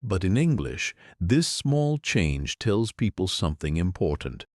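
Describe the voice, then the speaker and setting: A man giving a scripted English lesson, explaining clearly and patiently in an encouraging way. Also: deep voice, very animated, a little slow, no echo, studio quality. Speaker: English teacher. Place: in Europe.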